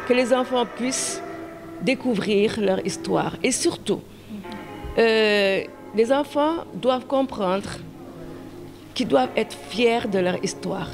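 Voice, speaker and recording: A woman speaking in an interview, haltingly and often repeating words. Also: on the high side, gravelly, rough recording. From Senegal. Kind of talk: spoken interview. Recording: music playing behind the voice.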